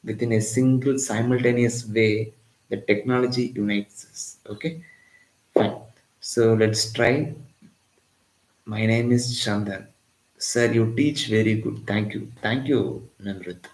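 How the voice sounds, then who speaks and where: A man's voice: mid-range voice, a little mellow, reverberant, rough recording. A man from Tamil Nadu with an Indian English accent, teaching a live physics lesson and often repeating lines and asking "got it?". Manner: repetitive, keeps checking in with "got it?". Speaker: physics tutor. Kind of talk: live-streamed exam-prep lesson.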